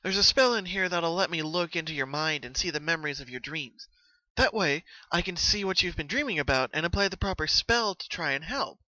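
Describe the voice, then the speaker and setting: A man plays a caring, studious female unicorn from a cartoon story, his pitch lifted and bright. She sounds earnest and articulate, explaining things like a concerned friend. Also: expressive, brisk, no echo, low-quality recording.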